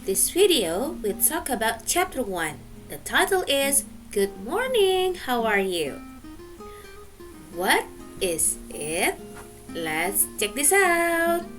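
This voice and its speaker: A woman gives a recorded English lesson to young students. Her voice sits high and swings widely in pitch. She talks slowly and carefully, with faint guitar music under her. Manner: slow, careful teacher's talk with lively pitch. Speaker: English teacher.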